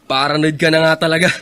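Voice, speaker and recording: A man's voice: on the high side, bright, forceful tone, smooth. A man acting a sceptical young friend in a radio drama, with a casual, needling way of talking.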